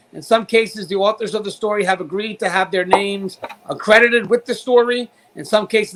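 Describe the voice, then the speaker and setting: High-pitched male show host. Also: fairly bright tone, low-quality recording. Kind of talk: upbeat show hosting with sponsor read and interview questions.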